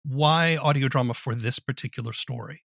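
A man's voice: mid-range voice, moderate pace, vocal fry, fairly bright tone, dry recording, studio quality. A man interviewing guests in a casual, conversational style. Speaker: interviewer, podcast host.

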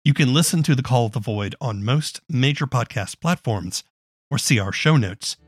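A man's voice: mid-range voice, lively intonation, normal speed, slightly rough, no room sound, studio quality. A man hosting a podcast, speaking as an interviewer and announcer. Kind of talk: interview and scripted outro narration.

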